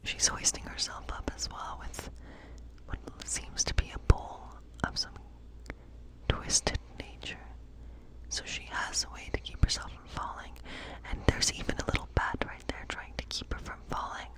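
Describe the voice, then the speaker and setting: A woman's voice: close to an everyday voice, low-quality recording. Woman playing a whispering cottage witch. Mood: gentle, playful. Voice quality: soft breathy whisper. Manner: gentle, unhurried, softly musing. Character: friendly cottage witch woman reading tarot by night. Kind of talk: ASMR fantasy role play.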